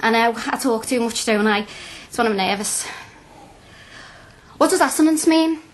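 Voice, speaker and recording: High, husky young Liverpool woman student. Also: animated, normal speed. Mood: nervous, chatty. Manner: blunt, chatty, colloquial. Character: blunt, curious young working-class woman student, chatty. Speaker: actress in a radio play. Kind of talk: naturalistic radio drama.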